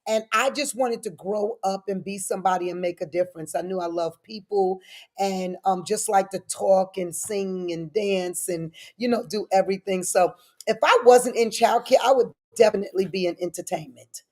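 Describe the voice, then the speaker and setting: A middle-aged woman giving animated, personal answers as an interview guest, speaking emphatically and repeating phrases to drive them home. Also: medium pitch, normal speed. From born in Frankfurt, Germany; lived in New York City. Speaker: guest, daycare business owner.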